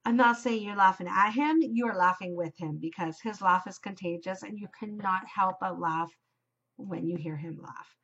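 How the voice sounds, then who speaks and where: A Canadian woman hosting her knitting podcast, chatting casually and enthusiastically in a loud voice. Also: medium pitch, moderate pace, slightly rough. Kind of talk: casual chat. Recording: slightly dull home recording.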